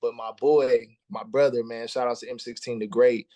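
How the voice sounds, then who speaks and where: A man's voice: medium pitch, steady tone, normal speed, warm tone, dry recording. A man in his thirties, a musician guest talking casually in an interview, laid-back and slangy, often repeating words as he starts a thought. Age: 30s.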